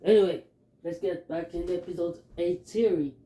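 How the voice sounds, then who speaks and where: Filipino-accented guy, kinda high voice, slow and hesitant, roomy echo. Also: fairly even intonation, warm tone. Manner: halting, hesitant, many fillers. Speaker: fan commentator. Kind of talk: casual theory talk.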